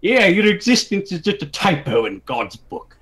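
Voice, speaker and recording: A man's voice: sits high, big pitch swings, moderate pace, crowd noise, pitched above the performer's own voice. Man doing a smug, grumpy bearded brute, swooping. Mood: taunting.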